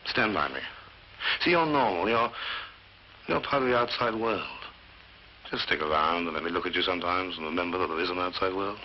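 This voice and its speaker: An actor playing a sardonic, weary, bitter brother in a scornful, theatrical 1940s British film style. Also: male voice, sits low, fairly quick, long pauses, a little hiss or hum, natural voice. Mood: anxious, pleading. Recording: muffled 1940s film soundtrack.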